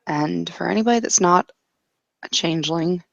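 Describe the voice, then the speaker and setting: A woman's voice: fairly low pitch, normal speed, vocal fry, a bit bright, no echo, lo-fi sound. A woman casually narrating an improvised tabletop roleplay as game master, hesitant and thinking aloud as she goes.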